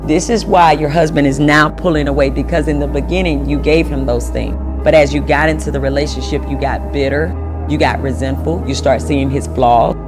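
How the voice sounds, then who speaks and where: A man's voice: fairly high pitch, fairly even intonation, brisk. A man giving an emphatic relationship advice monologue, listing his points one after another. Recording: clip with music playing behind. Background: music.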